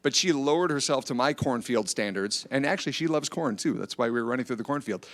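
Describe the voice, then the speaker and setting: A middle-aged man with an American accent speaks to a group in an informal, motivational way. His voice sits at an average pitch. He tells stories conversationally and keeps inviting agreement with short tag questions. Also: lively intonation, fast talker. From Iowa, United States. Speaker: speaker at a church family event. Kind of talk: informal motivational talk with storytelling. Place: church, speaking to a gathered group.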